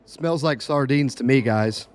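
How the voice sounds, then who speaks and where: A man's voice: mid-range voice, a little slow, close to an everyday voice. A man playing a big, tough but cautious guy in an audiobook, wry and disapproving. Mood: wary. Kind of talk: audiobook character acting.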